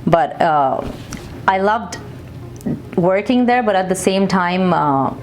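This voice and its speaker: A young adult woman from Kuwait answering interview questions in a conversational way, pausing often with fillers and restarts. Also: medium pitch, expressive, soft background music. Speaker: guest, singer. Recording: faint background noise.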